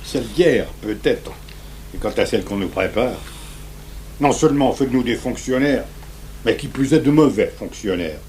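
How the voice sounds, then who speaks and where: An actor playing a weary family doctor in a radio drama, speaking emphatically and articulately. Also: male voice, mid-range voice, animated, normal speed, a little mellow, natural voice. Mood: weary, bitter. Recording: faintly noisy 1980s radio recording.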